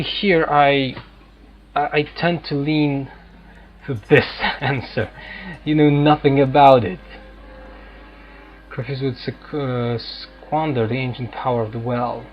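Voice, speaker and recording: Dull-sounding male gamer, thinking aloud. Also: mid-range voice. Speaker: gaming video host. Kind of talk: casual let's-play commentary. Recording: dull lo-fi microphone, game music underneath. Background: game music.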